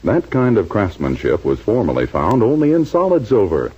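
Animated American radio announcer, muffled vintage broadcast. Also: male voice, on the low side, moderate pace, husky. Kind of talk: 1940s radio scripted announcing. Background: loud music.

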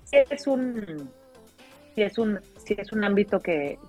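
A Mexican woman in her thirties with a deep, full-bodied voice, telling personal stories casually as an interview guest, in an expressive way. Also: slow. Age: 30s. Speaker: interview guest, radio announcer. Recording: dull lo-fi sound, music underneath.

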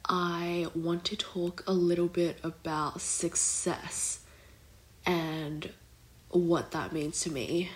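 A young woman talks through her own podcast in a loose, meandering way, with plenty of "like" and "kind of". Her voice is nasal and congested from a blocked nose, and it sits slightly low for a woman. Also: poor audio. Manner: rambling, heavy use of "like" and "kind of". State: recovering from illness, congested. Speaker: podcast host. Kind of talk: casual solo podcast chat.

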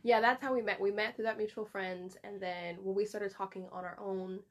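A woman's voice: mid-range voice, fairly even intonation, brisk, slight background noise. A young woman, a podcast guest, telling how she met her fiancé in a casual way with lots of "like" and "um". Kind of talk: casual interview chat.

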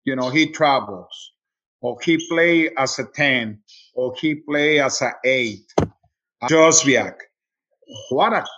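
A man's voice: medium pitch, slow pace, fairly bright tone, lo-fi sound. Middle-aged man with a Mexican accent. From Mexico City, Mexico. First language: Spanish. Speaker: sports radio play-by-play announcer, interview guest. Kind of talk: casual interview talk.

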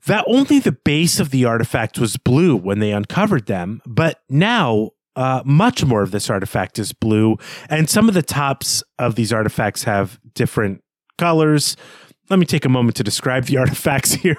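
A man's voice: medium pitch, dry recording. Man hosting a book podcast, close dry sound. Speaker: podcast co-host. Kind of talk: conversational literary recap and discussion of a story.

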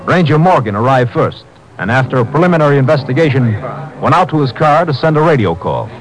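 A man's voice: medium pitch, animated, fast, slight background noise, close to an everyday voice, rough recording. An American man acting as the formal announcer of a 1950s radio crime drama, reading scripted case narration. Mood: formal, factual.

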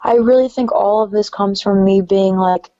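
Soft raspy-voiced woman, mellow and chatty. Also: mid-range voice, moderate pace, lo-fi sound. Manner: relaxed, chatty, many fillers. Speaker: singer-songwriter guest. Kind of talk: casual interview chat.

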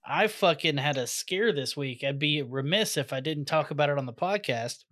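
A man's voice: a little high, animated, normal speed, a bit bright. A man hosting a podcast, joking and swearing in casual chat with guests. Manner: profane, joking, laughs often. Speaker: podcast host.

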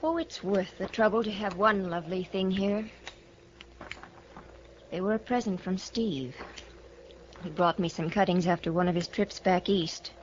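A woman's voice: mid-range voice, a little slow, pauses often, lightly acted. An American woman playing a proud, weary young widow in a radio western, guarded and full of wounded dignity. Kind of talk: 1950s radio western acting. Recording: muffled, slightly noisy old radio broadcast.

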